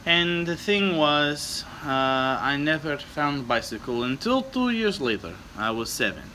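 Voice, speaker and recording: Solemn, halting Bulgarian man acted by a man, bright-toned. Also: fairly high pitch, slight background noise, exaggerated voice, low-quality recording. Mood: deadpan. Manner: halting, broken English, deadpan. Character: solemn, wistful Bulgarian man reminiscing about his childhood. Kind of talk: improvised comic in-character storytelling.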